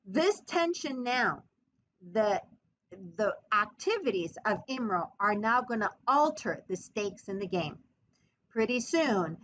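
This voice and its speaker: Woman history lecturer, animated and emphatic, slightly high voice, muffled lo-fi sound. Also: a little hiss or hum. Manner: emphatic, expressive storytelling with dramatized quotes. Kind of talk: podcast lecture. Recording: muffled lo-fi recording.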